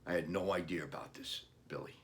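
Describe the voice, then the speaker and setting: A man reading a children's storybook, playing an earnest, gentle father talking about his young son's wishes. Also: sits low, brisk, natural voice. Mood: regretful.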